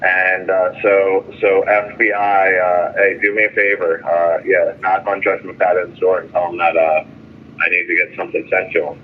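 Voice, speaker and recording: Guy calling from jail, kinda low voice, thin phone sound w some noise, halting n fed up. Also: flat delivery. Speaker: jailed caller. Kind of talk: casual phone update to a vigil. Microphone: telephone. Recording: tinny noisy phone line.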